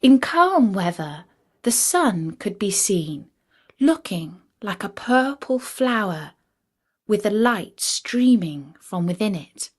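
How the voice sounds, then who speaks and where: A woman reading a fairytale as a storyteller, in an expressive, measured storybook manner. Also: medium pitch. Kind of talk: scripted fairytale narration.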